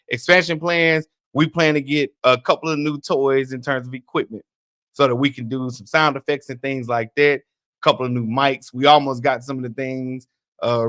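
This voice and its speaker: A man co-hosts a sports talk podcast in a loose, conversational way, laughing often and circling back on his words. His voice sits at an ordinary pitch and is recorded dry and close. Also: no echo. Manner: relaxed, chatty, laughs easily, restarts words. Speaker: podcast co-host. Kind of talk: casual podcast chat.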